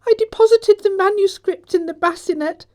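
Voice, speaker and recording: A woman's voice: very high-pitched, moderate pace, dark tone. A woman playing a prim, earnest middle-aged governess in a stage comedy audiobook, with an English accent and a formal, theatrical manner. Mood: ashamed, confessing.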